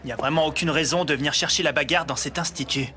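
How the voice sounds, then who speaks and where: An earnest young martial artist. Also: male voice, a little high, quick pace, bright, forceful tone, slight background noise. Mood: serious. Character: earnest young male martial arts student. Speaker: narrator and fighter. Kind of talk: French anime dub. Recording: music behind.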